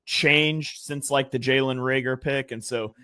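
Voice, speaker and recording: A man chatting through draft picks on a sports podcast, working things out as he goes with plenty of ums and restarts. His voice sits at an ordinary middle pitch. The sound is dry and close, with no room around it. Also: steady tone, unhurried, sharp tone, clear, resonant tone, no echo. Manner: conversational, analytical, many fillers and restarts. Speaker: guest draft analyst. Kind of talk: casual sports podcast chat.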